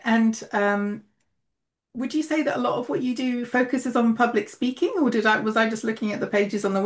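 A woman hosting a podcast, talking in a friendly, chatty way with loose phrasing. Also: a little high. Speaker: podcast host, English coach. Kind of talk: podcast intro and casual interview.

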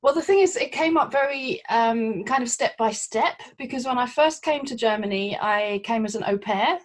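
A woman's voice: fairly high pitch, lively intonation, moderate pace. A middle-aged woman from London, a translator being interviewed, talking in a chatty way with frequent hesitations. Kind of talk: conversational interview.

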